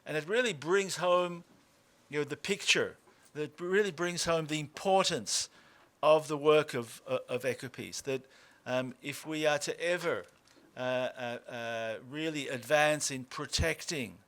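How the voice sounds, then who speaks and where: A man in his fifties with an Australian accent, a co-founder speaking at a lunch talk, halting with many fillers and restarts. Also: on the high side, fairly bright tone. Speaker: organization co-founder.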